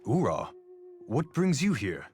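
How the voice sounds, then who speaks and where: An actor playing a dutiful, burdened town officer in a fantasy video game. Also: male voice, mid-range voice, wildly swooping pitch, unhurried, a little mellow, a little hiss or hum. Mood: weary, businesslike. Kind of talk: game dialogue acting.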